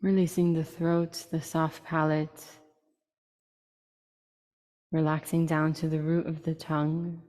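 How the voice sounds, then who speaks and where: A woman with a low-pitched voice leads a guided meditation, soft-edged and mellow in tone. She speaks in short, unhurried phrases and leaves long pauses between them. A long reverb trails behind her words. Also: level tone. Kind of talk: slow, soothing guided meditation.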